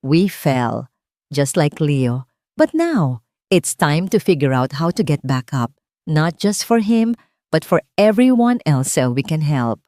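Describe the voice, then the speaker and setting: A woman's voice: low voice, very animated, slow, mellow, no echo, studio quality, heavily acted. A Filipino woman narrator voicing an earnest, persuasive businessman husband and father in an audiobook story. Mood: hopeful, moved.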